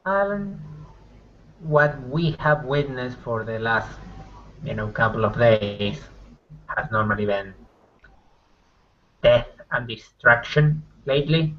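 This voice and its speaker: A man's voice: mid-range voice, expressive, slow, pauses often, dull audio. A man playing a vain, skeptical werewolf packmate with a wry, teasing manner.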